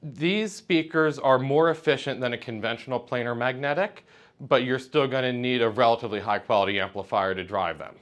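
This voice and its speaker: A man's voice: mid-range voice, fairly quick, fairly bright tone. A man giving a precise, matter-of-fact technical explanation as a product representative in conversation. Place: hi-fi trade show exhibit.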